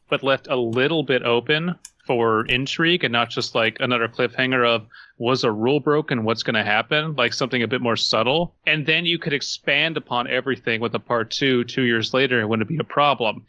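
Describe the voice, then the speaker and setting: A man with a bright, forceful voice gives casual critique as a podcast co-host. The sound is close and dry, a little dull, and he slips into mock quotes to make a point. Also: mid-range voice, normal speed, no room sound.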